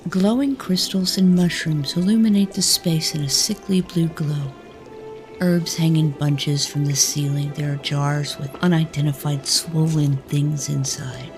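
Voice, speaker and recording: A woman with a very low-pitched voice reads scripted fantasy narration. She tells the story as its narrator, with faint music underneath. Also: steady tone, measured pace, a little mellow.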